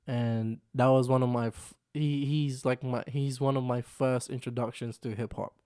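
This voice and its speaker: A man's voice: mid-range voice, dark tone. A young man from the Philippines, raised in London from his teens, hosting a casual solo vlog, rambling and repeating himself. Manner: rambling, repeats himself, frequent "you know what I'm saying". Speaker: vlog host. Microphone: camera microphone.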